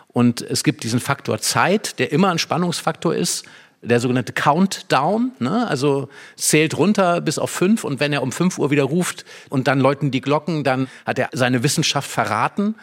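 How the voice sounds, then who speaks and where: A man in conversation, explaining a play as an expert guest with vivid storytelling and emphatic repetition. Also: medium pitch.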